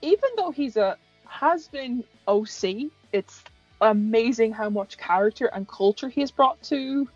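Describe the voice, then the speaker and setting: An Irish woman in a casual, gushing fan chat, excitable, giggly and sweary. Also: on the high side, unhurried, no room sound, lo-fi sound. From Ireland. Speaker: co-host of a fan tribute chat. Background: music.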